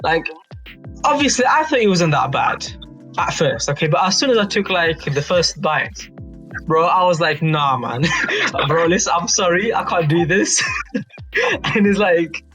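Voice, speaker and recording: A young British man casually telling an anecdote to friends in animated slang, repeating himself and checking that they follow. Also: on the high side, a little slow, soft background music, poor audio. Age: young adult. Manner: animated slangy storytelling, repeats himself, seeks agreement with tags. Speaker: youth advisory board member on a podcast.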